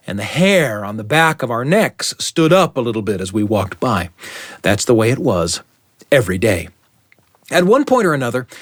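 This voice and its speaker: A man telling a story as a radio host, with deliberate, suspenseful phrasing and dramatic repetition. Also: mid-range voice, no room sound, clean studio sound.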